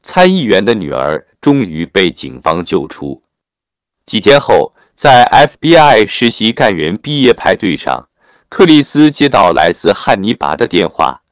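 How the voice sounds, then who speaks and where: A man narrates a scripted plot summary in a low voice. His pitch moves up and down in a lively way while he keeps to formal, written phrasing. The sound is dry and close. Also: unhurried, clear, resonant tone, no echo. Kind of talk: scripted narration, film recap.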